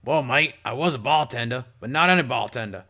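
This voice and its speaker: Brief: man doing a gravelly, bright-edged old ghoul stallion, chummy barkeep. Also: mid-range voice, animated, a little fast, pitched below the performer's own voice. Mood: friendly. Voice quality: gravelly, chummy, calls everyone mate. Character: gravelly old male ghoul pony bartender, jovial. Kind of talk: audiobook character voice.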